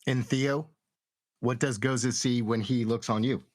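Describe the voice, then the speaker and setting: A man's voice: mid-range voice, steady tone, measured pace, a little mellow, no echo, clean studio sound. A man acting as game master, narrating a tabletop roleplaying game and addressing the players.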